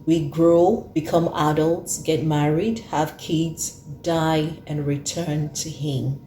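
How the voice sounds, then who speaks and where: A woman's voice: low-pitched, steady tone, slow pace, dark tone, reverberant, a little hiss or hum, poor audio. A woman in her thirties hosting an earnest talk, who repeats her points for emphasis. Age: 30s. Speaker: host. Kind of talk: casual talk to camera.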